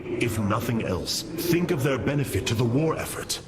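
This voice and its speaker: A man as a grave, expressive noble alien commander. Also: medium pitch, normal speed, very noisy, lightly acted. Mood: firm, persuasive. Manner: grave, formal, stately. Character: noble alien commander, resolute, compassionate leader. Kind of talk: dramatic video game acting.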